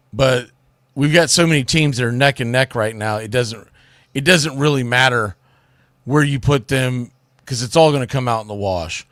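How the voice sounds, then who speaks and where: A man's voice: mid-range voice, sharp tone, dry recording, clean studio sound. A man hosting sports radio, giving opinionated college football commentary in a blunt, emphatic, colloquial way.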